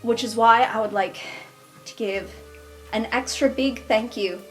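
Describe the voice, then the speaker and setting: Woman doing a sincere student giving a speech, slow and careful, a bit higher voice, faint music. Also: natural voice. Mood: earnest. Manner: measured and formal. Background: soft background music.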